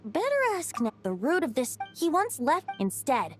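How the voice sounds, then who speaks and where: A woman's voice: sits high, sing-song, moderate pace. A woman voicing a playful young male bard god in a video game, with a lilting, theatrical storytelling manner. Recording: music under the voice.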